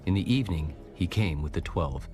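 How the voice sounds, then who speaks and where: A man narrating a dramatized audio Bible as a solemn storyteller, formal and measured. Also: sits low, lively intonation. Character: solemn male scripture narrator. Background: clear background music.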